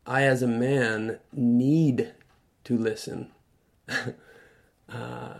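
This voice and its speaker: Radio guest, male, earnest reflective talker who stops and restarts, mid-pitched voice. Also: fairly even intonation, creaky. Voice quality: loud voice. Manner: earnest, halting with many restarts. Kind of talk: reflective conversational talk. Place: radio studio.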